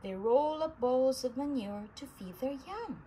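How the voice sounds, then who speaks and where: Female read-aloud narrator for a kids' science book, very expressive pitch, unhurried pace, noisy home recording. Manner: animated storybook delivery with emphasis for young listeners. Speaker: reader of a children's book.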